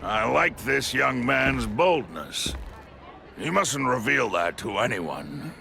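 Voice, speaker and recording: A man playing a self-assured, commanding big shot in a video game, speaking formally and deliberately. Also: medium pitch, sing-song, creaky, background noise, heavily acted. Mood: measured, approving. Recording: music behind.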